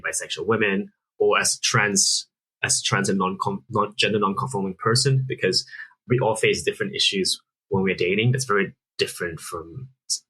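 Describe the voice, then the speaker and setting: Guest, male, 30s, from Singapore; low husky voice, earnest explainer. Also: sharp tone, low-quality recording. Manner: earnest, explanatory, with frequent restarts. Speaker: app founder guest. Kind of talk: conversational interview answers.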